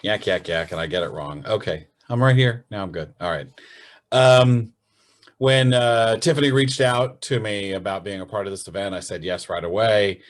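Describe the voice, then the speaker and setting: A male radio host giving a casual, halting introduction full of fillers. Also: on the low side. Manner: relaxed, halting, with many fillers.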